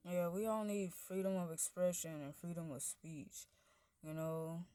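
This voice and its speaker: A woman with a deep voice, rambling through a casual monologue, padding every phrase with "like" and "you know" while her pitch and loudness wander. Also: a little slow, smooth, no room sound.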